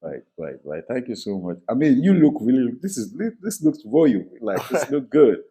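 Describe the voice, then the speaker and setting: A man's voice: medium pitch, sing-song, normal speed, mellow, husky. A man hosting a podcast in his studio, talking earnestly and rambling with many fillers. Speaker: podcast host. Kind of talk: podcast interview.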